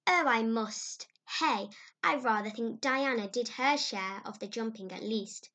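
A woman reading aloud, voicing a prim, stern elderly woman who speaks in a sharp, clipped, scolding way. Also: fairly high pitch, unhurried.

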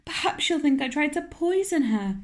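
A woman's voice: sits high, big pitch swings, normal speed, voice pushed up. A woman voicing an imaginative, dramatic orphan girl of about eleven who pleads in a tearful, overwrought way. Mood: tearful despair.

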